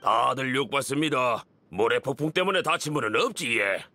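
A man's voice: mid-range voice, expressive, normal speed, sharp tone. A man voicing a polite, folksy older village chief with a Gyeongsang Korean accent in a video game. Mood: apologetic, friendly.

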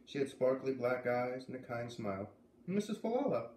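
A man's voice: medium pitch, fairly even intonation, moderate pace, darkish tone. Male reader in his thirties for read-aloud narration; living-room echo. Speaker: storyteller reading a children's novel aloud. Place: living room indoors by a fireplace. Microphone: camera a few feet away. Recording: roomy camera sound, faint noise.

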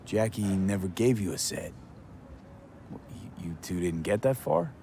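A man playing a young street mercenary in a video game, blunt but caring, with a terse, casual, low-key delivery. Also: lively intonation, unhurried, creaky, mellow, a little hiss or hum, heavily acted. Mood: casual, sympathetic. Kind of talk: naturalistic video game acting. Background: music in the background.

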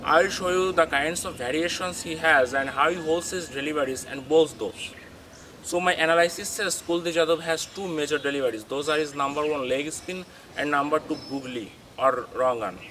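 A young man with a fairly high voice talks a viewer through spin bowling grips, showing each one with his hands. His English is non-native and full of small restarts. He stands outdoors by a concrete wall, with faint outdoor sound behind him. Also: pushed and bright, slight background noise, low-quality recording. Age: young adult. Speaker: cricket coaching channel host. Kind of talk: tutorial talking to camera.

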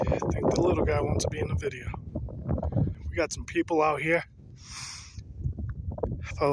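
A man narrating his hike to the camera in a chatty, casual way, a little shaky as he climbs, recorded outdoors on a rocky mountaintop with a handheld camera. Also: fairly high pitch. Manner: chatty, unhurried, talks to the camera while climbing. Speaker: hiker filming his climb. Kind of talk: casual trail vlog narration. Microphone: handheld camera on a pole. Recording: loud wind noise on an outdoor camera mic.

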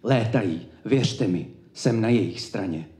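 A man performing slam poetry in a declamatory, emphatic way, with deliberate pauses between his phrases. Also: on the low side, expressive, mellow. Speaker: slam poet. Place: indoor cultural evening event, live before an audience. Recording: echoey live room.

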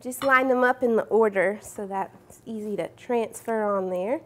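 A woman's voice: medium pitch, normal speed. A young woman hosting a cooking show, explaining each step in a friendly way in a studio kitchen. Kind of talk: cooking demonstration. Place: home-style studio kitchen.

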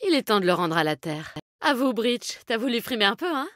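High-voiced, brash fighter woman. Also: very animated, fairly bright tone, no room sound, studio quality. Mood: wry, scornful. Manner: brisk, confident, slangy. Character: tough, rugged animal-loving female fighter, brash team player. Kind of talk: video game character voice lines.

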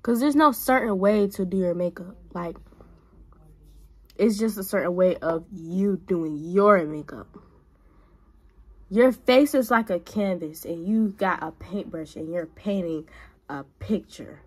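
A woman's voice: lowish voice, unhurried, darkish tone, breathy edge. A woman in her twenties talking casually to a phone camera while doing her makeup in a small bedroom, giving blunt big-sister advice. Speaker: vlogger. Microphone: phone camera at arm's length.

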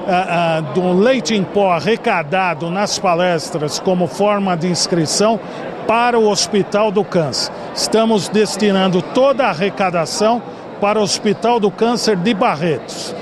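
A man in his forties with a São Paulo Brazilian accent answers a TV interviewer in a formal, measured, speech-like way. His voice sits fairly high, caught on a handheld mic in a busy ballroom with steady noise behind him. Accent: Brazilian Portuguese, São Paulo. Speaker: bar association culture director, guest. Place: large indoor ballroom party. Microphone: handheld mic held by interviewer. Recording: noisy ballroom.

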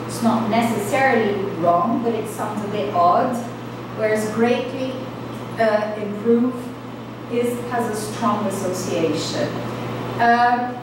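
A middle-aged woman giving an academic lecture in a measured, explanatory way. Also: medium pitch. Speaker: university lecturer. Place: small indoor lecture room. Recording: echoey room, distant mic, clear background noise.

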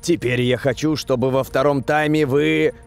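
Calm, assured cartoon coach played by a man. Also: medium pitch, moderate pace, gravelly, soft background music, lightly acted. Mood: reassuring. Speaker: football coach. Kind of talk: dubbed cartoon dialogue.

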